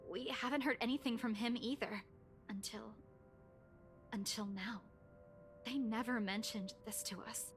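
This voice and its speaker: Woman playing anxious, higher-voiced young office worker. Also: a little slow, long pauses, close to an everyday voice. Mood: shaken, worried. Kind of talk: visual novel game voice acting. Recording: music behind the voice.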